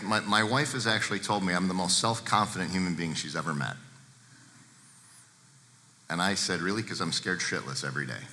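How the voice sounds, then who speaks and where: A man speaking as a guest at a seminar, talking candidly and addressing the audience directly in a deep voice. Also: pushed and bright. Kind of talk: seminar talk to a live room. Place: large seminar room with a projector. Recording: echoey hall.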